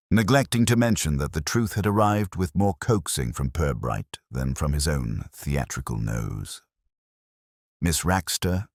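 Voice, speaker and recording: A male narrator reads a mystery aloud in a wry, stately storytelling manner. His voice is extremely deep yet lively in its pitch. The recording is dry and close, with no room sound. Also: no echo. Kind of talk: scripted audiobook narration.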